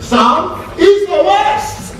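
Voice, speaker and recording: An actor with a Nigerian accent playing a slippery, pompous politician in an emphatic theatrical style. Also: male voice, extremely high pitch, lively intonation, drawn-out words, exaggerated voice. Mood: pompous, amused. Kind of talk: theatrical stage acting. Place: theatre stage, recorded from a distance. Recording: very echoey stage, far mic, audience noise.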